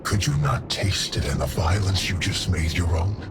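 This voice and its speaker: A male actor voicing a grim, proud alien god-warrior in a video game, with gravelly, solemn and archaic declamation. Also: deep voice, expressive, normal speed, over music. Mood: proud, menacing. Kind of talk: video game voice acting, scripted monologue.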